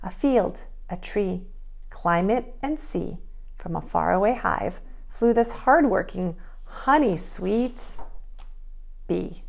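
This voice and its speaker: A woman preschool teacher reading and instructing young children in an expressive, child-directed way. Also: medium pitch, slow, gravelly. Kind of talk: children's read-aloud and drawing lesson.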